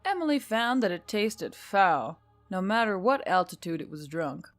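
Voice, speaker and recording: Female narrator for scripted fiction, mid-range pitch, faint music underneath. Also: big pitch swings, normal speed. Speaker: audiobook narrator and channel reader.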